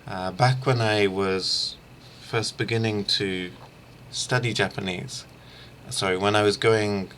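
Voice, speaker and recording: A middle-aged man giving an informal instructional screencast as a language teacher, haltingly thinking aloud. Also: on the low side, steady tone, a bit bright, slight background noise. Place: small room lined with shelves, indoors.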